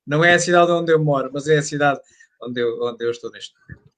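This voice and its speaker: A man's voice: a little high, fast, a bit bright. A man from Portugal with a European Portuguese accent, chatting as a guest on a live online conversation. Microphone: video call.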